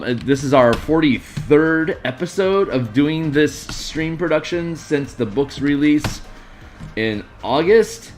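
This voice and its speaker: A man with a tired, gruff voice streaming casually, rambling through tabletop game design with lots of fillers. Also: medium pitch. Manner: rambling, many fillers and restarts. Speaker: streamer and map artist.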